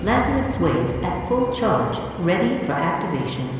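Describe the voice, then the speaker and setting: An actress playing an impersonal facility computer in a sci-fi game, making clipped, formal announcements. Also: female voice, fairly low pitch, very animated, normal speed. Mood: impersonal, announcing. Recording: very echoey, long reverb, distant, music behind.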